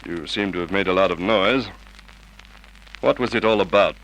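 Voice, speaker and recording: Low-voiced gruff police sergeant. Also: male voice, moderate pace, fairly bright tone. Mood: brisk, probing. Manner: curt, questioning, commanding. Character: gruff, brusque police sergeant leading a murder inquiry. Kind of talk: Golden Age radio drama acting. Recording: noisy old radio drama recording.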